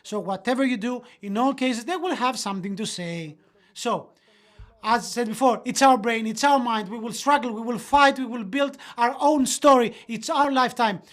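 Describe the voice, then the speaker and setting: Interpreter, earnest, mid-pitched, hesitant restarts, motivational webinar voice-over. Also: moderate pace, fairly bright tone. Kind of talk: motivational talk, interpreted into English.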